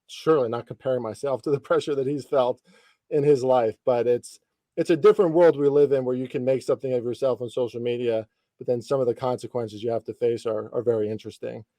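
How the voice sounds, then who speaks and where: A man's voice: medium pitch, steady tone, fast, dark tone, no echo. A man in his thirties hosting a podcast, chatting casually about sports in informal, slangy language with some light swearing. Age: 30s. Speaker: podcast host interviewing a guest.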